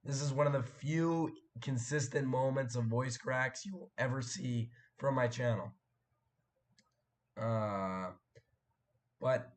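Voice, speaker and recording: A young man hosting a casual live stream, his voice hoarse and cracking after a sore throat, rambling and clearing his throat as he chats with viewers. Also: mid-range voice. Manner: rambling, chatty, frequent fillers and throat clearing.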